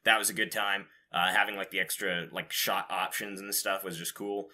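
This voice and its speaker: A man's voice: sits low, little pitch movement, normal speed, pushed and bright. A man hosting a podcast, chatting casually about game news in an informal, rambling, casually profane way. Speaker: podcast host.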